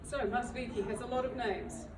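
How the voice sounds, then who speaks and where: A woman's voice: a little high, steady tone, fairly quick. Woman speaking from afar in a roomy hall. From New Zealand. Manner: self-deprecating, chatty. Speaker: library director giving a talk. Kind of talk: public talk to an audience. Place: library event room. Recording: roomy, far from the mic, noisy.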